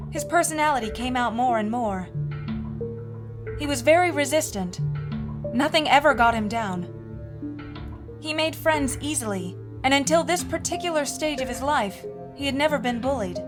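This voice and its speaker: A woman playing a haunted mother in her forties, telling a first-person horror story in a measured, earnest, confiding way. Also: sits high, lightly acted. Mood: sober, confiding. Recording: music bed under the voice.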